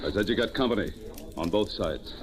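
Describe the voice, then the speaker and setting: A man's voice: lowish voice, a little fast, close to an everyday voice. An actor playing a menacing gunman in an old radio crime drama, giving curt, growled orders. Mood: curt menace. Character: menacing male gangster thug holding someone at gunpoint. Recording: noisy old radio transcription.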